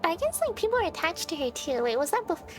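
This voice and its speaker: High-voiced woman chatting over game music. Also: very animated, fast. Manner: chatty, thinking aloud, often asking questions. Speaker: livestreamer. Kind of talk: casual chat while playing a game for viewers. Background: video game music.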